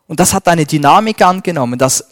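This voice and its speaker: A man preaching a sermon and reading scripture, slow and emphatic, swinging between loud stresses and a dropped voice. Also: fairly high pitch, lively intonation, no room sound, clean studio sound.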